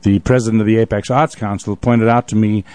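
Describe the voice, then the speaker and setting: A man's voice: lowish voice, fairly even intonation, a little fast, darkish tone, dry recording. A man hosting a live radio show in a casual, folksy and joking way from a studio.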